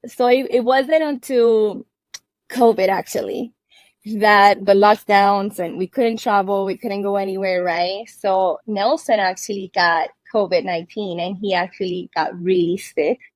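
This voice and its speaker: A woman's voice: medium pitch, steady tone, unhurried. A woman from Cuba with a mid-range voice, talking casually as an interview guest from inside a cabin. She laughs easily and restarts sentences as she goes. The sound is dry and close, with little room echo. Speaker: guest, cabin rental owner. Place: inside a cabin under renovation.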